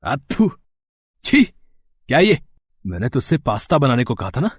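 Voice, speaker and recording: Man voicing a spoiled rich cartoon husband, scornful, harsh, mid-pitched, dry close sound. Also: very animated, moderate pace, breathy edge, dry recording. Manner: scornful, harsh, shouting insults. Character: arrogant rich young husband, cruel and spoiled. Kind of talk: cartoon voice acting.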